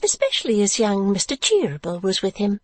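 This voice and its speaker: A woman's voice: mid-range voice, very animated, brisk, darkish tone, dry recording, pitched above the performer's own voice. Woman acting a chirpy, sly little lady. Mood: chatty. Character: sly, chatty little spinster friend, good-humoured. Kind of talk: dramatised classic novel reading.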